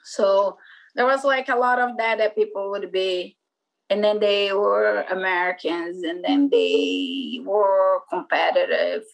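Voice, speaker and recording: A woman's voice: mid-range voice, slow pace, creaky, low-quality recording. Brazilian-accented woman in her forties, chatty. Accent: Brazilian Portuguese. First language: Portuguese. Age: 40s. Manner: rambling, many fillers like "like" and "you know". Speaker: skateboarder and former magazine publisher. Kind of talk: casual interview conversation.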